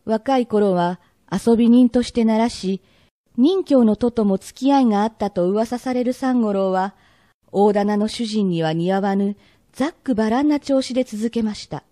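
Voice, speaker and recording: A woman narrating an old Edo tale for a radio drama in polite, measured storyteller phrasing. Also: a little high, dark tone, no room sound, studio quality, natural voice.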